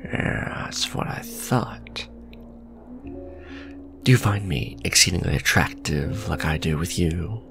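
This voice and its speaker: Casting: male actor for smug businessman villain, romantic ASMR drama; gravelly fry, expressive intonation, dry conversational wit, faint music underneath. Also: sits low, slow, long pauses, exaggerated voice. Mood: flirty, teasing. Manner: sardonic, conversational, sighing and teasing. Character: smug male villain, suave luxury fashion businessman on a date.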